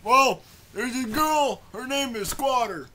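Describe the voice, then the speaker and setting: A lovesick young cartoonish cat, whiny and easily panicked. The voice is extremely high and piercingly bright, in an amateur puppet comedy with a faint hiss. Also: male voice, expressive, slow, exaggerated voice, low-quality recording. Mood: bashful.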